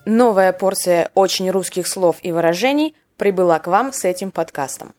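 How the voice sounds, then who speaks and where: A woman's voice: lowish voice, moderate pace, darkish tone, faint music behind. A woman hosting a podcast that explains slang words, in wry scripted narration with short acted example lines. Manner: wry, playful, acts out short example dialogues. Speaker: podcast host teaching Russian slang.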